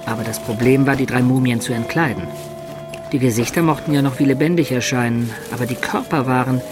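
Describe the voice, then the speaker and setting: A man's voice: medium pitch, natural voice. An actor playing a young male adventurer who recounts his tale in a matter-of-fact way in a radio play. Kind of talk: German radio play narration and dialogue.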